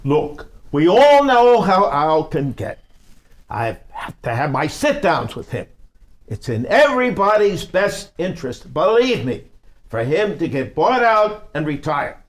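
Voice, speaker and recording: Pragmatic crime boss male actor, high voice with big pitch movement, persuasive table-read delivery. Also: big pitch swings, unhurried. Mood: reasoning. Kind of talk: live script table reading.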